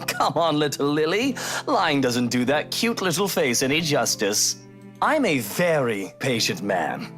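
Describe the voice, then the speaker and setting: A woman's voice: sits low, very animated, a little slow, faint music behind, voice pushed down. A woman voicing a smug, impatient man who mocks and condescends, in a visual novel. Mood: smug, probing.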